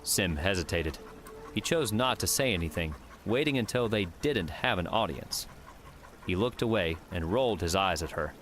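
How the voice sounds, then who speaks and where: A man's voice: deep voice, lively intonation, normal speed, fairly bright tone, a little hiss or hum. A man reading scripted fiction narration as an audiobook narrator.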